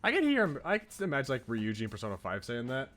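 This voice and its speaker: A man's voice: medium pitch, huge pitch swings, fast, a bit bright, faint music behind. A man live-streaming a visual novel, reading aloud and chatting.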